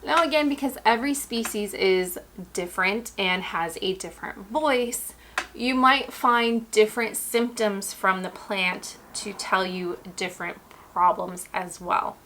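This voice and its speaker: A woman hosting a houseplant care video, explaining things in a chatty, relaxed way while losing her voice. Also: mid-range voice, unhurried, slight background noise. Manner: chatty, relaxed, and explanatory, addressing viewers directly. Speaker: houseplant care channel host. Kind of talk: casual instructional talk.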